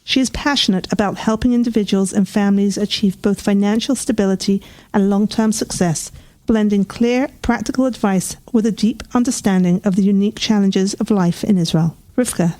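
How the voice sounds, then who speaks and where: Mellow-voiced woman podcast host. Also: mid-range voice, fairly quick. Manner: warm-up reading then lively reactions. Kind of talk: scripted intro then interview chat.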